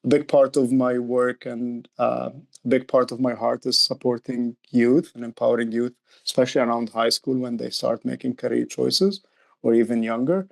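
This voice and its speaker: Middle-aged man with creaky voice. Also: medium pitch, fairly even intonation, normal speed. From Egypt. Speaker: youth program director, interview guest. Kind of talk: conversational interview explanation.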